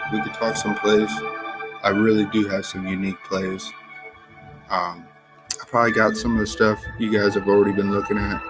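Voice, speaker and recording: Groggy deep-voiced man, laid-back. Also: rough recording. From Florida. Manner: laid-back, rambling, slow-talking. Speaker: fantasy football streamer. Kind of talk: casual live-stream chat.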